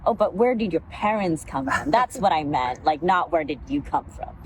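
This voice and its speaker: A young woman from the East Coast in relaxed casual conversation, easygoing and quick to laugh. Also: sits low, a crowd in the background. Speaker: voice actress, guest. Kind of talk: casual chat. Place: outdoors in a park. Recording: noisy outdoor recording with traffic.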